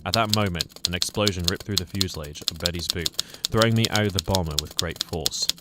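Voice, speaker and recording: A man's voice: deep voice, brisk, natural voice. A man playing a prim, timid English war reporter in a radio drama, formal. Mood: solemn narration. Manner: formal, stammering when flustered. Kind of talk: radio drama narration and acting. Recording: very loud noise and typewriter clatter.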